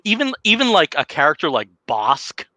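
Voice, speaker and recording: A talkative man with a New Jersey accent, joking through a casual podcast chat. His voice is bright and forward, and the sound is dry and close with no room echo. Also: a little high, huge pitch swings, moderate pace. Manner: jokey, talkative, laughs often. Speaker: podcast co-host. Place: home room, dim lighting.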